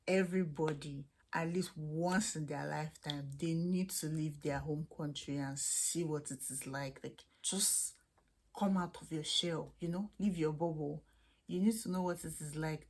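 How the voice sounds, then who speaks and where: Low-voiced Nigerian woman chatting. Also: a little mellow. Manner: chatty, candid, with frequent restarts. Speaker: international student vlogger. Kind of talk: casual chat answering questions to camera.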